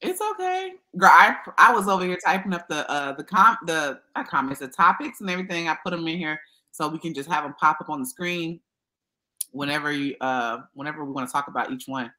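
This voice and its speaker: A woman hosting a casual livestream, chatty and expressive, talking to her viewers as y'all. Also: sits low, fairly quick. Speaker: YouTube livestream host.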